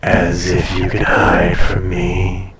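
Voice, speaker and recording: A man playing an ancient dragon familiar in an intimate roleplay audio, tender, possessive and teasing, soothing and often dropping to a breathy murmur. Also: low voice, completely flat delivery, slow, roomy sound, far from the mic, a crowd in the background. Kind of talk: intimate roleplay audio drama, personal attention.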